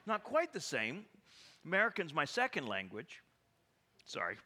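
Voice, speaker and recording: A man preaching a sermon, animated and full of stammering restarts and rhetorical questions to the congregation. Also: on the high side, normal speed, breathy edge. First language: English.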